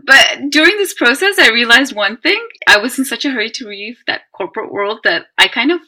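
A woman, a consultant guest on a podcast interview, talking in an animated, emphatic way in quick run-on lists. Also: on the high side. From Netherlands. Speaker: guest, brand strategy consultant.

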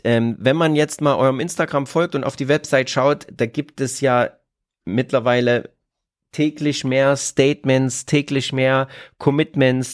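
A man's voice: medium pitch, dry recording, studio quality. Hesitant male podcast host. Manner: hesitant, with many fillers, reads out quotes. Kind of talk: podcast interview.

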